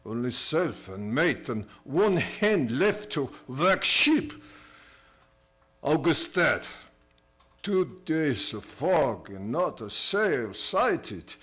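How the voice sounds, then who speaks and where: A man's voice: fairly high pitch, sing-song, drawn-out words, a bit bright, breathy edge, full character voice. An actor playing a grim, increasingly fearful ship's captain, reading his log in clipped, solemn phrases in old-time radio style. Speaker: stage and radio theater actor.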